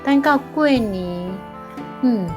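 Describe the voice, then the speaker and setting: A woman's voice: on the high side, lively intonation, slow. A woman playing a caring, hardworking mother in a radio drama, speaking expressively with a Taiwanese accent. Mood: fond, musing. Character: caring, hardworking mother who sews for her daughters. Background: background music.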